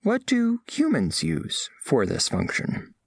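A man's voice: medium pitch, wildly swooping pitch, slow, gravelly, a little hiss or hum, exaggerated voice. A man playing a formal, curious alien scholar who narrates an audio drama in precise, clinical wording. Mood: formal curiosity. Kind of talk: scripted first-person story narration.

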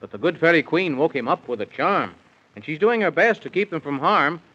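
A man's voice: a little high, animated, a little fast, pushed and bright, breathy edge. A man narrating a children's story in rhyme with theatrical sing-song delivery, in the style of 1930s radio. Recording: dull, muffled 1930s radio recording.

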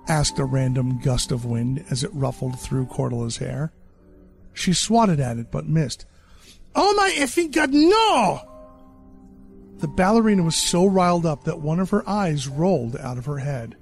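A man narrating a dark horror short story in a measured, deadpan way. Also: medium pitch, gravelly, soft background music.

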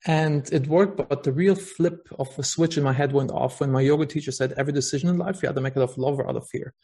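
A man with a German accent speaks as an interview guest, earnest and hesitant, often stopping to restart his words. His voice is gravelly with a husky edge. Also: fairly high pitch, fast, dark tone. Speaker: podcast guest, software founder. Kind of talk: casual interview talk.

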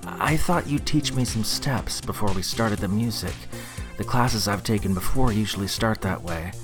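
A man's voice: fairly low pitch, moderate pace, natural voice. A man playing an earnest, awkward spaceship pilot in an audio drama, speaking in an instructive way with music behind him. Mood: tentative.

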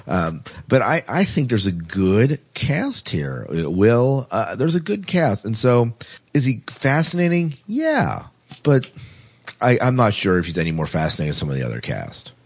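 Low-voiced male podcast host. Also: huge pitch swings, unhurried, slightly rough. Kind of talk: casual discussion of TV sci-fi characters.

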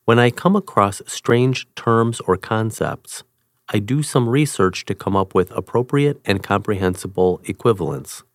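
A man giving a scripted reading of a first-person article in a measured, even tone. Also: on the low side, no echo, studio quality. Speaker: reader.

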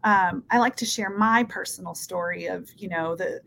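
A woman's voice: lowish voice, moderate pace, gravelly, a bit bright, slight background noise. A woman in her thirties from Peru, talking earnestly as an interview guest and often checking in with "right?". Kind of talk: casual interview talk.

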